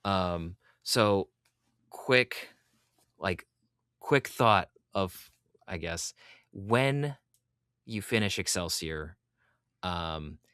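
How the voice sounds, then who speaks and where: A man's voice: low voice, animated, very slow, long pauses, a bit bright, clean tone, no room sound, studio quality. A man hosting a podcast interview in casual conversation, with an informal, fannish enthusiasm. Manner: informal, enthusiastic fan talk.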